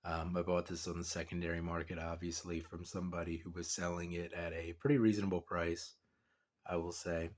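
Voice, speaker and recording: A low-voiced man who sounds congested from a cold. He talks through a pocketknife in a loose, meandering way, leaning on ums and likes as he goes. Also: a little fast. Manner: relaxed, rambling, many fillers. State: stuffed up with a cold. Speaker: knife collector reviewer. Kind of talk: casual product review.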